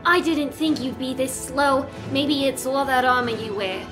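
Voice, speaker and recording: A young villainess in an audio drama, acted by a woman, taunting and vengeful. Her voice is high and defiant. Music plays softly behind her. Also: unhurried. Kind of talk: fan audio drama acting.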